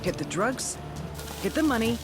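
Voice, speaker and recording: An actress playing a tough, sardonic intelligence agent briefing operatives in a game, wry and punchy, with cynical bite. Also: female voice, a little high, big pitch swings, normal speed. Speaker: mission briefer. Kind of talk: scripted game cutscene narration. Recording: music bed under the voice.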